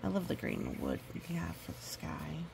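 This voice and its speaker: A woman reading a picture book aloud to a young child, animated and repeating words for emphasis, with explanatory asides. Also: deep voice, moderate pace, creaky, background noise.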